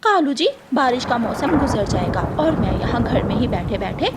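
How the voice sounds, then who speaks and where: A woman's voice: on the high side, animated, normal speed, heavy background noise, natural voice. A woman voicing a bored crow wife in a cartoon, coaxing and whiny. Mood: whining. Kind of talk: Hindi cartoon storytelling.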